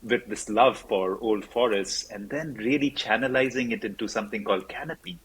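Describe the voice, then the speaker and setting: A man's voice: on the low side, moderate pace, a bit bright, husky, poor audio. Rambling male podcast host. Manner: rambling, repeats words while searching for a question. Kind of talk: interview questions.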